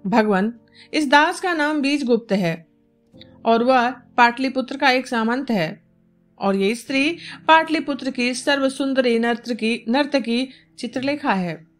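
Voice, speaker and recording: A woman's voice: high voice, unhurried. A woman voicing a respectful, candid nobleman in a storybook reading, with courteous, formal address. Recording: music bed behind the voice.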